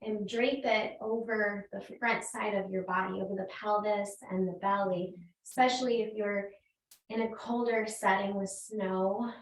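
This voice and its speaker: A woman yoga teacher guiding a restorative class in a gentle, unhurried, invitational way. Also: medium pitch, fairly even intonation. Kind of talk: slow guided restorative yoga instruction. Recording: roomy echo, distant microphone.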